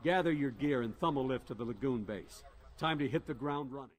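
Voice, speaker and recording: A man acts a stern military commander for a video game. He speaks bluntly at a medium pitch, gruff and used to giving orders. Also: fairly quick, lightly acted.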